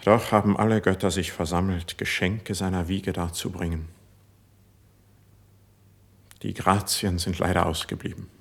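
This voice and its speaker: An actor playing an impassioned, melancholy court poet, declaiming lofty verse in a measured way in a classic German radio play. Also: male voice, sits low, flat delivery, gravelly, breathy edge, full character voice. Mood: wistful resentment.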